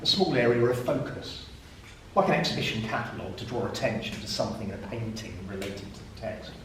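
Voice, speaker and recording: A man giving a dry, deadpan introduction as part of a live poetry performance. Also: lowish voice, quick pace, slight background noise. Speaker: performance poet. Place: live arts venue, indoors. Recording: echoey room from far off.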